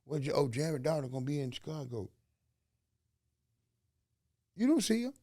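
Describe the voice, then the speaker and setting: A man's voice: on the high side, big pitch swings, normal speed, mellow, dry recording. Southern guy from alabama hosting a podcast, folksy and preachy, lots of "you follow me", dry sound. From Alabama, USA. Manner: folksy, preachy, full of rhetorical questions and slang. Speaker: podcast host. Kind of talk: motivational podcast talk.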